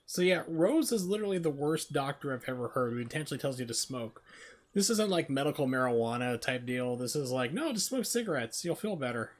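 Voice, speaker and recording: A man's voice: medium pitch, big pitch swings, fairly quick. A man giving casual, chatty, amused commentary while livestreaming a video game. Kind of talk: casual gaming stream commentary.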